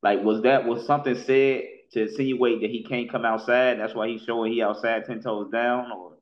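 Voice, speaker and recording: A man's voice: medium pitch, steady tone, normal speed, roomy sound. A man, sounding middle-aged, giving casual street commentary in slang, repeating himself and often checking that the listener follows. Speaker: commentator on street and rap news. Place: indoor room, video call. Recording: dull, muffled call audio.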